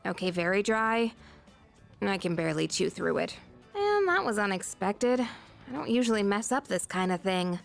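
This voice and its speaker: A woman playing a chatty, self-deprecating high school girl in a video game. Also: very animated, moderate pace, creaky, faint music behind. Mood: sheepish.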